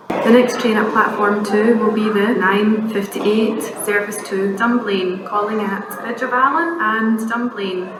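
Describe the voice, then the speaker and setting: A Scottish woman reading formal, measured automated train announcements in separate phrases over a station public address system. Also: mid-range voice. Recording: noisy, echoey station tannoy recording. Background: station ambience and noise.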